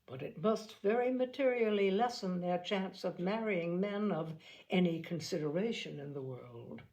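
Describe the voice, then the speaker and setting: Woman doing a proud, reserved, clipped gentleman. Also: fairly low pitch, lively intonation, normal speed. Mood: stern. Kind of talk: audiobook character acting.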